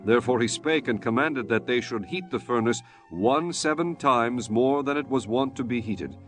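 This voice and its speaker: A man narrating scripture from a script in a measured, solemn, formal manner. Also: mid-range voice, animated, a bit bright. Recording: music bed behind the voice.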